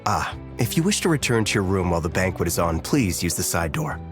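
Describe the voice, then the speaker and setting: Low-voiced, courteous attendant. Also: male voice, sing-song, brisk, music in the background. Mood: polite, apologetic. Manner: formal, obsequiously polite. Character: courteous, deferential male banquet attendant. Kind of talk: video game dialogue.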